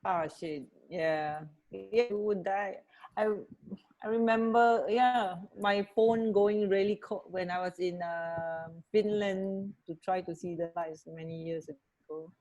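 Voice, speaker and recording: A woman's voice: mid-range voice, slow pace, darkish tone, lo-fi sound. A middle-aged woman co-hosting a radio show in casual, giggly chat over a video call from home. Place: at home, indoor room. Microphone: wired earphones on a video call.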